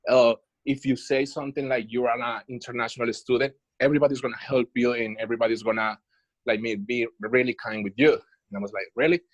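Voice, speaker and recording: A man's voice: mid-range voice, flat delivery, fast, no room sound, muffled recording. A Colombian man whose first language is Spanish, a student telling a personal story in an online class, hesitant with many fillers. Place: video call.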